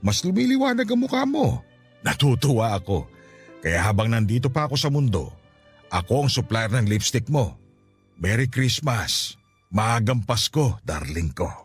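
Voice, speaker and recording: Casting: loving, frail family father for radio drama; teasing yet sentimental, with lively swooping intonation. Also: medium pitch, normal speed, husky. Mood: tender, sentimental. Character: affectionate, playful, ailing father of a grown daughter. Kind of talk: Tagalog radio drama acting. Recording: music behind the voice.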